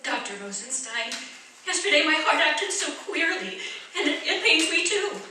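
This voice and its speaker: Casting: actress as self-pitying hypochondriac wife, radio drama, high animated piercing delivery. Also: female voice, normal speed, heavily acted. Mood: plaintive, weak. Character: fretful, intolerant hypochondriac wife in a wheelchair. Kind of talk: radio play acting. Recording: echoey hall from far off, faint noise.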